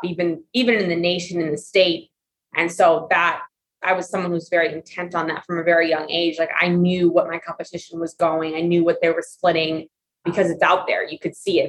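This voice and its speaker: A woman with a somewhat low voice answers questions as a guest. She speaks earnestly and reflectively, often pausing with "you know" and "I mean". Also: fairly bright tone, poor audio. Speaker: guest, competitive swimmer. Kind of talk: casual interview answers.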